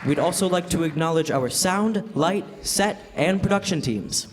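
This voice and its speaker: A man's voice: on the high side, expressive, normal speed. A young man announcing credits in the style of a classic radio drama announcer. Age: teens or young adult. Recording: noisy live stage hall, crowd, reverb.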